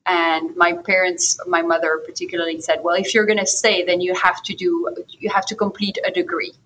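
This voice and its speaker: A woman's voice: lowish voice, level tone, a little fast, fairly bright tone, slight background noise, low-quality recording. A native French-speaking woman from France with a French accent, talking casually as an interview guest.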